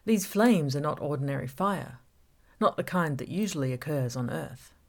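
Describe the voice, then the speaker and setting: A woman's voice: low-pitched, lively intonation, normal speed. A woman voicing a taunting, manipulative male villain in an audiobook, gloating and mock-reasonable. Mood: gloating menace. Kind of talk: audiobook character voice.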